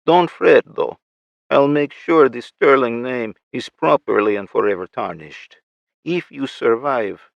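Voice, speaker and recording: A man voicing a gloating male villain with a Russian accent, taunting and mocking in a slow, drawn-out way. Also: fairly low pitch, gravelly, dry recording, full character voice. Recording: muffled lo-fi recording.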